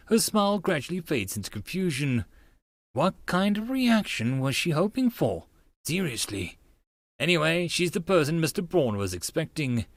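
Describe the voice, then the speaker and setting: A man's voice: fairly high pitch, no echo. A man reading scripted first-person story narration as an audiobook narrator, in a dramatized style.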